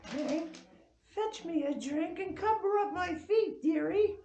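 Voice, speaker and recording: Elderly wealthy aunt, high voice, slow delivery, imperious yet coquettish, film script read, dull roomy sound. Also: female voice, lively intonation, mellow, faint music behind, exaggerated voice. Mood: flirtatious, doting. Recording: dull, echoey room recording.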